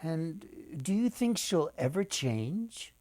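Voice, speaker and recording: An older man tells stories to children as their author. He speaks slowly and deliberately, with theatrical pauses, in a dry, close recording. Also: on the high side, sing-song, mellow, no echo. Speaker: author and storyteller. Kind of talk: storytelling read-aloud for children.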